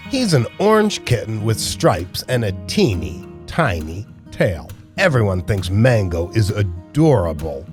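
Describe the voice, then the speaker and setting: A man reading a children's picture book aloud in a measured, expressive storytelling style. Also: on the low side. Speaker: storybook narrator. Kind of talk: children's read-aloud narration. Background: music with plucked guitar.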